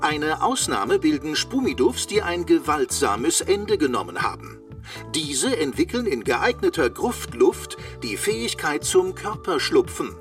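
A man's voice: on the high side, big pitch swings, unhurried, far from the mic, faint music behind. A man playing a chirpy automated hotline announcer with a salesman's zeal in a children's radio play, using sing-song patter. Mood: cheerful, salesy. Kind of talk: parody phone menu in children's radio play.

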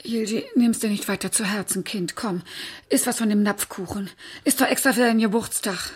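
A woman's voice: medium pitch, steady tone, a little fast, husky, lightly acted. An actress playing an anxious, fussing middle-aged mother in a German radio play, pleading and coaxing. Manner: pleading, coaxing, repeating herself.

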